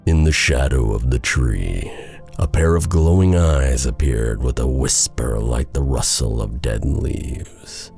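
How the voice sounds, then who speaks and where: A man narrating a dark bedtime fairy tale in a deliberate, dramatic storytelling style. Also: rumbling low, gravelly, faint music behind.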